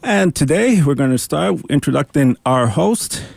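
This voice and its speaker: A young man hosting a college radio show, introducing it in an upbeat, announcer-like way that turns a bit halting as he goes. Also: fairly high pitch, animated, vocal fry, a little mellow, slightly rough, no echo. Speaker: student radio show host.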